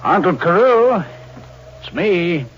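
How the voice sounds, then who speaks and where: An actor playing a sly, needling, falsely friendly crook who schemes murder, in 1940s radio style. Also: male voice, high voice, lively intonation, takes it slowly, music in the background. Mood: coaxing. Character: sly, fat scheming crook plotting his uncle's murder. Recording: dull, hissy old radio recording.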